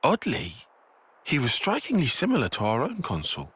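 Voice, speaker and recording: Measured, serious young soldier. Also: male voice, medium pitch, huge pitch swings, husky, exaggerated voice. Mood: grave, reasoning. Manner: measured, formal, precise. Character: analytical, serious, cautious young male soldier. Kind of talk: video game voice acting. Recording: loud music behind.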